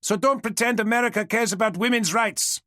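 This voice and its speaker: Man reading as a shrill young woman agitator in an audiobook, high and loud, defiant. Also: fairly quick, dry recording, clean studio sound. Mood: accusing. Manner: shrill, yelling accusations.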